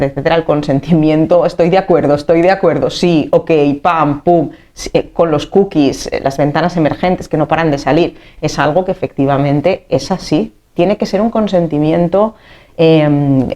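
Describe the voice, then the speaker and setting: A woman's voice: sits low, warm tone. A woman with an accent from Spain giving an informal explanatory lecture as a teacher, stressing key points and checking that listeners follow. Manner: didactic, emphatic on key points, checks understanding with tag questions. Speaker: teacher for civil service exam candidates.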